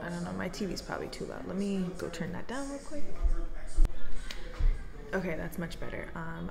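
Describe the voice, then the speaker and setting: A woman's voice: on the low side, gravelly. A young woman chatting casually to her viewers in a day-in-my-life vlog, rambling through asides. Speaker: vlogger.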